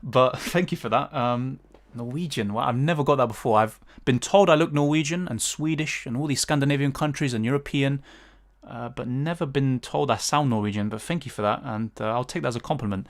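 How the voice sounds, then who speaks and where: British man, casual livestream chat, fillers and restarts, mid pitch. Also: fairly quick. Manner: chatty, thinks aloud with many fillers and restarts. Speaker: fantasy football streamer. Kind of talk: casual livestream chat answering viewer questions.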